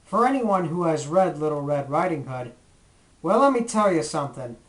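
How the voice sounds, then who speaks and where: A man's voice: on the high side, expressive, moderate pace, low-quality recording. A man hosting a retrospective review, giving scripted commentary with playful, theatrical comic asides. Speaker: retrospective video host.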